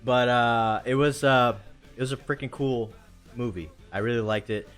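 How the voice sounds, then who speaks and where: A man's voice: medium pitch, normal speed, a bit bright. A man hosting a live stream in casual chat, enthusiastic and slangy, with music behind him.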